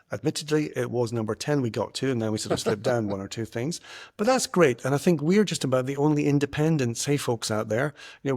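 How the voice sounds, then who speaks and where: A man's voice: mid-range voice, a little mellow. A man hosting a podcast from his front room, chatty and jokey. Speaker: podcast host. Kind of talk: casual podcast chat. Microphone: single earpiece, home setup.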